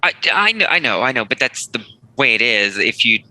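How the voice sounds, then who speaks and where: An actor playing a guarded, awkward male pastor with a hesitant, halting delivery in a script table read. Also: medium pitch, sing-song, sharp tone, rough recording. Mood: defensive, uneasy.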